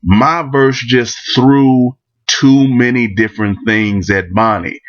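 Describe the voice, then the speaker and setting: A man's voice: mid-range voice, fairly bright tone, dull audio. A man with a cold, rambling bluntly through his opinions in a casual monologue. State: catching a cold. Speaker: vlogger giving battle rap opinions.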